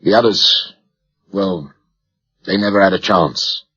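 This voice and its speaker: A man voicing a weary, wry Cockney radio operator with a hoarse, weak voice in an audiobook. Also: fairly low pitch, moderate pace, a little mellow, muffled recording. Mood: bleak, bitter. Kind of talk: audiobook character dialogue.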